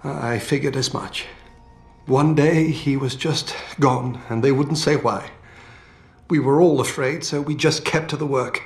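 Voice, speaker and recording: An actor playing an older British scientist in a video game, feisty and fatherly, with colourful, expressive delivery. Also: mid-range voice, measured pace, natural voice. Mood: grave. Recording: roomy game audio with music.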